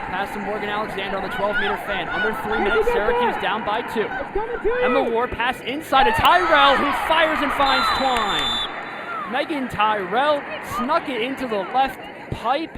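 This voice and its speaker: A high-voiced American man calls a live lacrosse game. He speaks in clipped, present-tense bursts over a loud crowd and heavy outdoor stadium noise. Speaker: play-by-play announcer. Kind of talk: live lacrosse play-by-play commentary.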